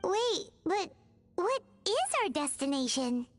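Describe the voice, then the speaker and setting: Squeaky, very high-pitched little fairy girl. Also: very animated, slow pace, dry recording, exaggerated voice. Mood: questioning. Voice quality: squeaky, childlike. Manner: refers to herself in the third person, exclamatory. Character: tiny childlike female fairy companion, excitable and anxious. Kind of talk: video game voice acting. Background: background music.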